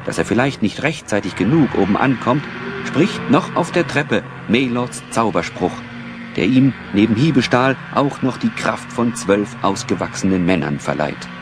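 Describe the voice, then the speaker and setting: A man's voice: on the low side, animated, moderate pace. A man narrating an audio play as a scripted, dramatic storyteller. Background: music.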